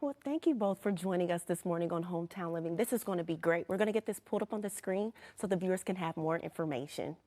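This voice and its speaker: A woman's voice: lowish voice, fast, hardly any pauses, darkish tone. A woman hosting a local TV morning show, interviewing guests with short affirming replies. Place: TV studio.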